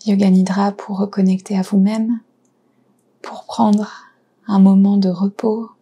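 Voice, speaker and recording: A woman guiding a yoga nidra relaxation, speaking softly and soothingly with pauses between short phrases. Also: mid-range voice, flat delivery. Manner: soft, breathy, soothing delivery with pauses. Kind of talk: guided relaxation meditation.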